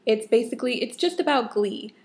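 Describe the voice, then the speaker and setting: Young female vlogger, somewhat high pitch, a touch hoarse; casual, exasperated, opinionated rant delivery. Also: expressive, fairly quick, darkish tone. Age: young adult. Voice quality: a bit husky. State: slightly hoarse. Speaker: vlogger, fan of a TV show.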